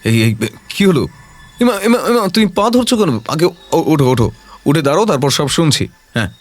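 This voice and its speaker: An actor playing a self-assured detective in an audio drama, measured and confident, kindly but commanding. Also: male voice, fairly high pitch, big pitch swings, soft background music. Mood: startled.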